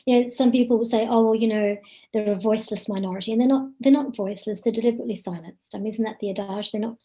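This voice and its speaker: A woman's voice: on the high side, fairly even intonation, quick pace, warm tone, poor audio. A woman speaking earnestly and emphatically on a discussion panel.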